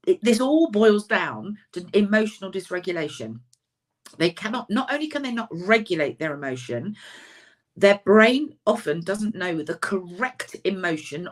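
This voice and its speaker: A woman, a counsellor and author, giving a chatty, emphatic webinar talk over a video call, her voice slightly husky. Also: on the low side. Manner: chatty, self-deprecating, emphatic.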